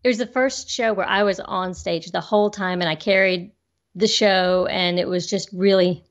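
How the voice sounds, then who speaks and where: A woman's voice: mid-range voice, fairly even intonation, normal speed, lo-fi sound. Woman, actress guest, bubbly, self-deprecating, video-call sound. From Georgia, US. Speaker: actress and voiceover artist, guest. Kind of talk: casual interview chat.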